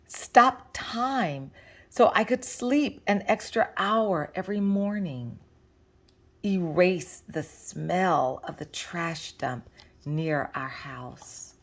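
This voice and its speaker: A woman reading a picture book aloud to children as a storyteller, with deliberate, expressive phrasing. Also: on the low side.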